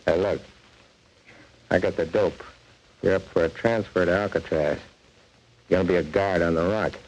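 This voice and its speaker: A seasoned convict informer in a 1940s radio drama. His voice is deep, his talk slangy and guarded, on a vintage recording. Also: steady tone, moderate pace, a little mellow, slight background noise, low-quality recording. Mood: wary, warning. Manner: slangy tough-guy talk. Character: wary veteran male convict, underworld informer.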